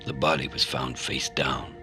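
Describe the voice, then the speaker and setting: Guy doing a hardboiled noir detective, super deep voice, slow n brooding, music loud behind. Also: little pitch movement. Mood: grim, weary. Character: world-weary, hardboiled male police detective, cynical and brooding. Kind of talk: noir radio play monologue.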